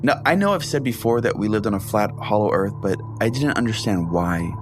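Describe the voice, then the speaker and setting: A man with a fairly low voice narrates a scripted comedic tale as a podcast host. He keeps a deadpan storyteller's manner, with music playing clearly behind him. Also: a little fast.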